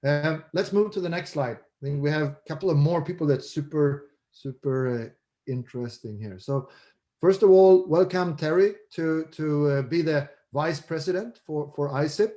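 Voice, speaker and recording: Swedish man with a higher-pitched voice. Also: normal speed, dark tone. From Sweden. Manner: repeats words as he goes. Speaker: incoming president of a professional association. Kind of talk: informal speech in an online board meeting. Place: video conference call.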